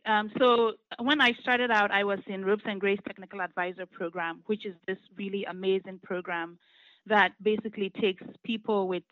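A woman, a law firm partner giving measured, explanatory interview answers with frequent fillers. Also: on the low side, no room sound. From Ghana. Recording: muffled lo-fi audio.

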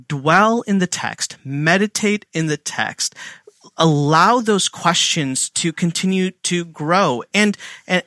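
A man with a bright, clear voice teaches Bible study in an easy, chatty way. His pitch sits a little above the middle, and he often stops to ask a question before he answers it. The sound is dry and close, with no room echo. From India. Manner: conversational, filler-heavy, asks and answers his own questions. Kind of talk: casual teaching talk.

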